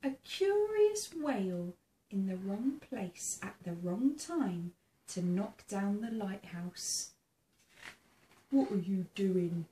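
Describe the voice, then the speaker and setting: A woman reading a children's picture book aloud in a theatrical, expressive way, engaging young listeners. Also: mid-range voice, slow. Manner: asks the listening child questions. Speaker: storybook reader. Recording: roomy sound with faint background noise.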